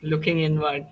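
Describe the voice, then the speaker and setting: A woman's voice: low voice, vocal fry, bright, forceful tone, slight background noise. A woman hosting a panel discussion, putting questions to a group and responding with short approving words.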